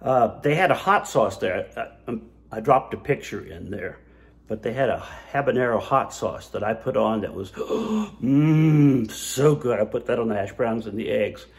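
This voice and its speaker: A middle-aged man talking to his phone camera held at arm's length. His pitch sits in the middle of the male range, and he talks with chatty enthusiasm. He stumbles and restarts as he goes. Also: lively intonation, normal speed, gravelly. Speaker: food vlogger. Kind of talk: casual food review vlog. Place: diner, then outdoors by a road, then home kitchen.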